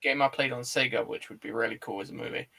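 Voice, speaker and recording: A man's voice: medium pitch, fairly even intonation, fast talker, gravelly, a loud crowd. A man hosting a podcast in a laid-back, blokey, casual way. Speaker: podcast host. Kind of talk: casual chat.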